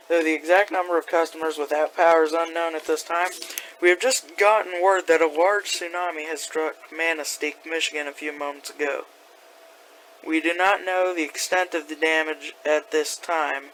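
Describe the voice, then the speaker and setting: A man playing an earnest, alarmed local radio news announcer, giving an urgent, slightly halting breaking news bulletin. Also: a little high, a bit bright, a little hiss or hum, close to an everyday voice, low-quality recording.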